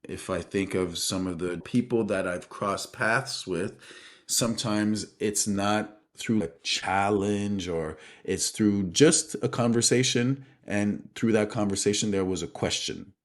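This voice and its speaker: Slow, low-voiced man in reflective conversation. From Benin. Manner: thoughtful, halting, with frequent "you know". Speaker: guest, street dancer and choreographer.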